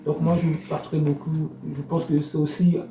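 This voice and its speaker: A man's voice: on the high side, little pitch movement, a little fast, warm tone. A man talking reflectively in a faith discussion, hesitating and repeating words as he thinks. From Congo. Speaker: podcast panelist. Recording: roomy echo, faint music.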